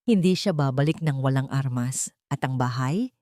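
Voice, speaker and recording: A woman's voice: extremely low pitch, normal speed, warm tone, no room sound, clean studio sound, voice pushed down. A woman voicing a determined wronged wife and doctor, cool and commanding, in an audio drama. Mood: resolute. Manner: firm, commanding.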